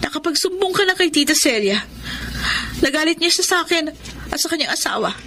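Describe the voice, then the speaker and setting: Wounded, stubborn young woman, highish voice. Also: animated, fairly quick, pushed and bright, a little hiss or hum, full character voice. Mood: resentful. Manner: wounded, resolute, dramatic. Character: fallen, stubborn young woman rejecting her suitor. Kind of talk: Tagalog radio drama acting.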